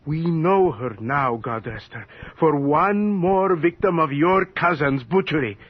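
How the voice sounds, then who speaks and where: An actor playing a humble but defiant, pious parish priest in a radio horror drama, speaking solemnly and theatrically. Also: male voice, fairly high pitch, breathy edge, full character voice. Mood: grave, remorseful. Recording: dull, noisy old radio recording.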